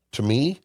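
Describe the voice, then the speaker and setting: A man's voice: warm tone, no room sound, clean studio sound. An older man from Indiana, a podcast guest telling folksy stories with emphasis and frequent restarts. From Indiana, USA. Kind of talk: casual conversation.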